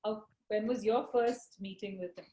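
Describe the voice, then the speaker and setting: A woman's voice: medium pitch, steady tone, warm tone. Encouraging woman interviewer, echoey room. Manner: encouraging, quick follow-up questions. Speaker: interviewer, magazine journalist. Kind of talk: casual interview.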